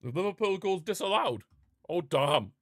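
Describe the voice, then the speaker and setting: A man's voice: sits high, expressive, normal speed, no room sound, studio quality. A man reacting live to a football match as a streamer, ranting and calling out to his chat. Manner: passionate, frustrated fan outbursts and rants; talks to live chat viewers.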